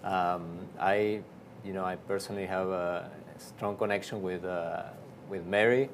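Argentine priest guest, lower voice, halting with lots of "uh" and restarts, faint background hum. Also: male voice, steady tone. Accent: Argentine Spanish. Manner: halting, many fillers and restarts. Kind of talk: casual interview storytelling.

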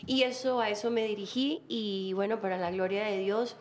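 A woman, a guest telling her life story in a relaxed chat, laughing often. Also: medium pitch, moderate pace, a little hiss or hum. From Colombia. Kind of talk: casual interview chat.

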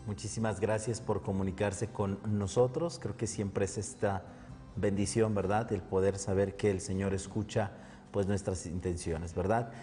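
A man hosting a live religious call-in show, courteous and gentle, tagging his lines with little questions. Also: lowish voice, fairly even intonation, moderate pace, long reverb tail, faint music behind.

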